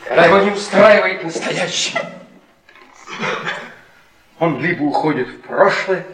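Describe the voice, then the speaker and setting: An actor playing a bitter, mocking middle-aged husband and defeated intellectual in a theatrical radio play, sardonic and needling. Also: male voice, on the high side, animated, unhurried, fairly bright tone, roomy sound, low-quality recording. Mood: bitter, lecturing.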